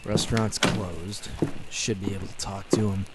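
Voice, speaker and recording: An actor playing a young speakeasy owner who is secretly a commanding, earnest vigilante in an old-time radio drama. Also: male voice, fairly low pitch, fairly even intonation, moderate pace, very noisy, lightly acted. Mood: musing. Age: young adult.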